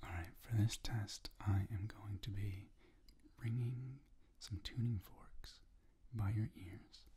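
A man in his thirties doing a whispered ASMR doctor roleplay, breathy and soothing, speaking slowly and reassuringly up close to the microphone. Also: lowish voice, steady tone, warm tone. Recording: close-up with clear noise and clicking.

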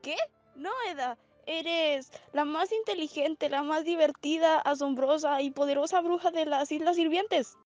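A woman voicing an excitable, lovestruck, awkward teenage girl in a fan comic dub, dramatic and pleading. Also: high voice, unhurried, dull audio, soft background music. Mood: defensive.

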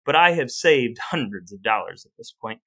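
Bright-voiced American man telling stories. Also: mid-range voice, animated, moderate pace, slightly rough. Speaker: podcast co-host. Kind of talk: casual podcast storytelling and chat.

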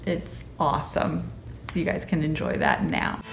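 A woman's voice: low-pitched, steady tone, moderate pace, vocal fry. A woman in her thirties chatting in a friendly, casual way to the camera in her home kitchen. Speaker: channel co-host. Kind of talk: casual vlog chat. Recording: roomy kitchen with faint hum.

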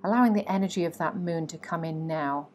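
A woman guiding a meditation in a soothing, instructive way, with frequent pauses between phrases. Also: lowish voice, darkish tone. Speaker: guided meditation teacher. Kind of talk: guided meditation narration. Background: meditation music with singing bowls.

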